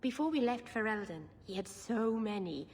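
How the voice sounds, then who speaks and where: A woman's voice: fairly high pitch, expressive, normal speed, a little hiss or hum. A woman playing an earnest, stubborn and sensitive young elf mage in a video game. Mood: soft, wistful. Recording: long hall-like reverb.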